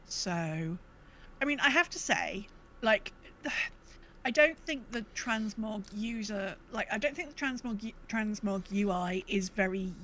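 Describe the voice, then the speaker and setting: A woman's voice: mid-range voice, lively intonation, unhurried, fairly bright tone, a little hiss or hum. A woman co-hosting a podcast in a casual, chatty way. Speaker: podcast co-host. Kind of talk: casual gaming podcast chat.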